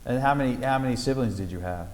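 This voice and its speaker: A man's voice: mid-range voice, fast, a little mellow, long reverb tail. A man conducting an oral history interview, asking friendly questions with frequent fillers. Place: library room.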